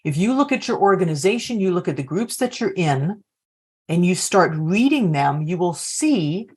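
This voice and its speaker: A woman's voice: fairly low pitch, sing-song, normal speed, breathy edge. A woman coaching leaders on a video call, reflectively posing open questions to the group. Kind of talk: workshop facilitation.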